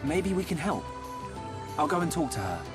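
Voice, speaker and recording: An actor playing an earnest, helpful young hero in a video game, speaking directly and sincerely. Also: male voice, on the high side, sing-song, normal speed, over loud music. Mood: earnest, concerned. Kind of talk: video game cutscene acting.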